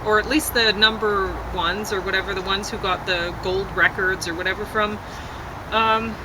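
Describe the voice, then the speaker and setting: Clear outdoor car noise sits behind a woman with a mid-range voice. She chats informally and hesitantly, rambling from one half-finished thought into the next. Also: fairly even intonation, normal speed. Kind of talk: casual chat. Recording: constant traffic and outdoor rumble noise.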